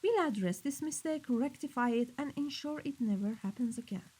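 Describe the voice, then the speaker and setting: A woman's voice: high-pitched, normal speed, warm tone, husky. A woman playing a cold, commanding mafia boss in a scripted audio roleplay, speaking sternly and accusingly. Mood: icy, reprimanding. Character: cold, menacing female mafia boss dealing in weapons.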